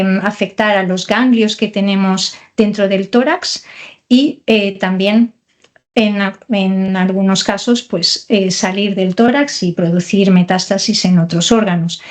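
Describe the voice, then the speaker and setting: A woman's voice: medium pitch, fairly even intonation, measured pace, a little mellow. Hesitant woman surgeon explaining plainly. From Portugal. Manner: hesitant, many fillers and restarts while explaining. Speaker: thoracic surgeon, guest. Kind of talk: interview answers, explaining.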